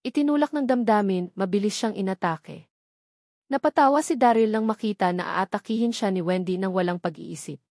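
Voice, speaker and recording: A woman's voice: medium pitch, fairly quick, a little mellow, dry recording. Woman narrating a story, dry close sound. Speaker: audiobook narrator.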